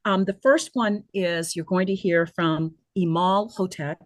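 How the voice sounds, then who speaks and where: Woman, webinar host, lower register, earnest and halting, very dry close sound. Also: no echo. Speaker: nonprofit leader hosting a virtual town hall. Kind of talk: semi-prepared webinar address.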